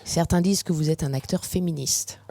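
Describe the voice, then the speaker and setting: A radio interviewer asking reflective questions in a measured, deliberate way. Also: medium pitch, gravelly, dark tone, no echo.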